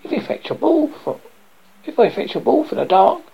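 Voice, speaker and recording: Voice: high-pitched, sing-song, moderate pace, creaky, husky, muffled recording, voice pushed up, full character voice. A storyteller voicing a timid, lonely little male frog with a scratchy, pleading voice.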